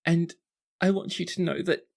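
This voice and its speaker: A man's voice: sits high, level tone, normal speed, gravelly, voice pushed up. A man voicing a tipsy teenage noble girl in a tabletop game, effusive, tender and formally polite. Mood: touched, happy.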